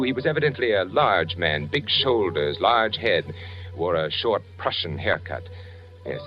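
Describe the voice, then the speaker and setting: An actor playing an anxious spy posing as a German architect, narrating and brooding aloud in 1940s radio drama style. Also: male voice, on the low side, lightly acted. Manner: brooding, questioning himself aloud. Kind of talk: 1940s radio acting, first-person narration and dialogue. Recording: muffled 1940s radio recording, music behind.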